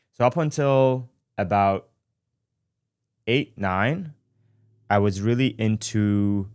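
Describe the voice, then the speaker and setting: A man in his twenties from Iran, telling his life story in a relaxed, reflective way as host of his own show, often pausing and correcting himself. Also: on the low side, no echo, studio quality. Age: 20s. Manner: reflective, with frequent fillers and self-corrections. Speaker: podcast host. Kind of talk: casual personal storytelling. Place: studio.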